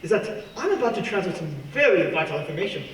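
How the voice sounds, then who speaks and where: An actor playing a polite, menacing villain professor in a radio play, with a genteel, theatrical, mock-regretful way of talking. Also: male voice, high-pitched, very animated, quick pace. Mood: silkily menacing. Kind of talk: stage-like radio play acting. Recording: distant, very echoey, noisy room.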